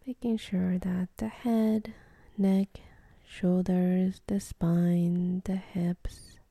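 A woman leading a guided meditation in a soft, breathy, half-whispered voice, calm and gentle, with long pauses between phrases. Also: fairly low pitch, no room sound.

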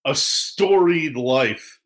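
A man's voice: mid-range voice, lively intonation, very slow, a bit bright. A man hosting a fan podcast in casual chat, enthusiastic and prone to swearing. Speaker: podcast host.

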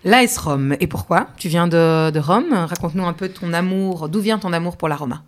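A woman hosting a podcast interview, friendly and quick with follow-up questions, laughing often. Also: lowish voice. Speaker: podcast host. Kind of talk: casual interview.

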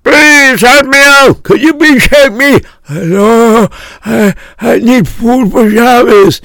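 A man's voice: gravelly, bright, forceful tone, dry recording, exaggerated voice. A man voicing a hungry, desperate beggar in a told tale, wailing and pleading for help. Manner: high, drawn-out wailing.

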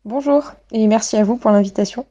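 A woman's voice: a little high, a little fast, warm tone, dull audio. A woman in her late twenties giving articulate, literary, self-deprecating personal testimony as a guest in a radio interview.

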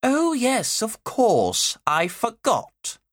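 A man's voice: sits high, huge pitch swings, very slow, a bit bright, dry recording, clean studio sound, voice pushed up, exaggerated voice. A man voicing a furious, sarcastic woman schoolteacher with a severe, emphatic delivery in an audiobook story. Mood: acid sarcasm.

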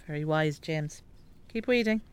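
A woman's voice: low voice, animated, unhurried, smooth. An actress playing a stubborn, frank elderly farm mother with an Irish accent in a radio drama. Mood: fond. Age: older. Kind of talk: naturalistic radio drama acting.

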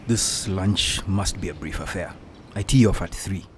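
A man's voice: low voice, a little slow, slight background noise. An actor with a Kenyan accent playing a hearty man in an audio drama. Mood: curt. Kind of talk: acted audio fiction.

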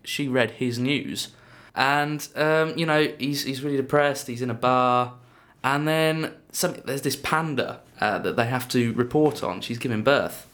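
A young man with a croaky voice, a guest on a casual film podcast, retelling plots in a rambling, hesitant way. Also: mid-range voice, a little slow, hardly any pauses, a bit bright. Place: small studio.

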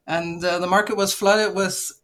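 A woman's voice: on the low side, fairly even intonation, moderate pace, a bit bright. Chatty woman from Luxembourg, fifties. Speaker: podcast guest, aviation executive. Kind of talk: casual interview conversation.

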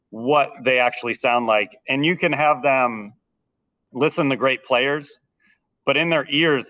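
Band teacher slightly off-mic, nasal. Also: male voice, mid-range voice, bright, forceful tone, distant mic. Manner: hesitant, repeats words when starting sentences. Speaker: school band director. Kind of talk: podcast interview, casual.

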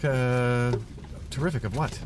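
A man's voice: medium pitch, a little slow, close to an everyday voice. A man acting in a satirical audio drama as a flustered, sarcastic, self-important foundation director, stammering and wry. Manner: stammering, wry, theatrical in speeches. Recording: faint vehicle noise behind. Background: moving car ambience.

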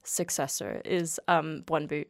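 A woman from Denmark, a native Danish speaker, chatting as a podcast guest, relaxed and quick to laugh. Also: low-pitched, gravelly, dry recording, clean studio sound. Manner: relaxed, laughs easily, lots of fillers. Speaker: interview guest. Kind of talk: casual interview chat.

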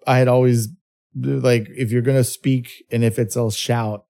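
A man co-hosting a podcast in casual chat, English his second language after Spanish, animated and joking. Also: medium pitch, moderate pace, gravelly. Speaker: podcast co-host.

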